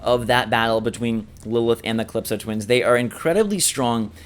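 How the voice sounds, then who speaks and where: A man's voice: mid-range voice, fairly quick. A man hosting a gameplay video, giving enthusiastic running commentary. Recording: game sound loud behind voice. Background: video game action noise.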